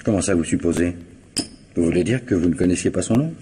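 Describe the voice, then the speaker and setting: An actor playing a dry, probing police commissioner in a French radio mystery, insistent and insinuating. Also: male voice, deep voice, animated, fast, warm tone, a little hiss or hum. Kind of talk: 1950s-style French radio mystery acting.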